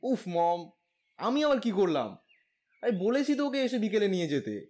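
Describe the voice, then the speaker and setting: A lovestruck young husband played by a man in a romantic audio drama. His voice is fairly high and moves up and down a lot. He is cheeky, stubborn and playful, always ready to plead. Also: sing-song, normal speed, darkish tone. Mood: exasperated. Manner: pleading, playful, whiny with elders. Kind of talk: Bengali audio drama acting.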